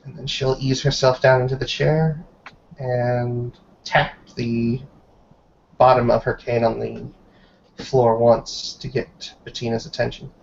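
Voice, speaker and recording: A man narrating a tabletop roleplay scene in a measured, descriptive way. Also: medium pitch, flat delivery, creaky. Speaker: roleplay game player narrating. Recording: dull, noisy online call audio.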